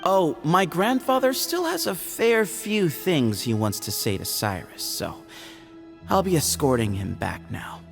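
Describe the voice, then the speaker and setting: An actor playing a calm, firm young temple leader who speaks with measured authority. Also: male voice, a little high, creaky, over music, exaggerated voice. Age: young adult. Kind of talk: video game voice acting.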